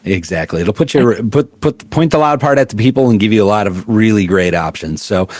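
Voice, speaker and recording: Deep-voiced man explaining gear. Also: animated, fairly quick, a little mellow, no room sound. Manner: explanatory, many fillers and restarts. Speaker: product specialist. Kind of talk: trade show interview. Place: busy trade show floor.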